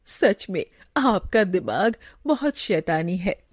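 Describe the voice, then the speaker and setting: A woman voicing a sly, flattering villain's accomplice in a cartoon story, with an inquisitive manner. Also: on the low side, slow, no room sound, studio quality, pitched below the performer's own voice, heavily acted. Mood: admiring, sly.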